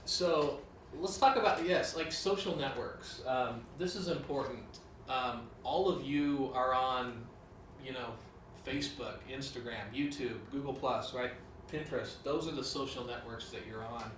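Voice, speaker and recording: A man's voice: mid-range voice, unhurried, fairly bright tone. Guy explaining stuff casual, lots of ums, echoey room, noisy, mic far away. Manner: casual, many "um" and "you know" fillers. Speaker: company director. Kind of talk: corporate training talk. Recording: roomy, from off-mic, with clear noise.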